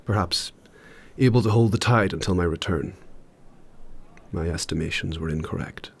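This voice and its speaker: An age-old warrior spirit in a fantasy audio drama. The voice is low and rough-edged, with a husky grain. Every phrase is grave, formal and measured. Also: male voice, full character voice. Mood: solemn, regretful. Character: ancient, solemn warrior spirit living in another's mind.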